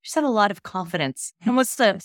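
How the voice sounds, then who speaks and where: A woman in her thirties, a real estate investor, talking upbeat and quick to laugh as an interview guest. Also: mid-range voice, animated, no echo, studio quality. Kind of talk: casual interview chat.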